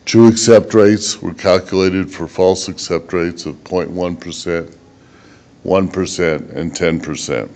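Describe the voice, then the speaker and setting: A man giving a technical conference presentation as a researcher, in a measured, halting way with occasional stumbles. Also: deep voice, darkish tone.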